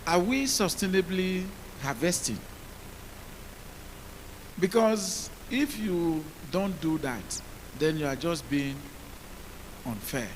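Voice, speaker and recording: A deep-voiced male policymaker on a conference panel, speaking in a measured way and asking the audience rhetorical questions. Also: animated, poor audio. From Nigeria. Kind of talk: panel talk. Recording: hissy conference mic.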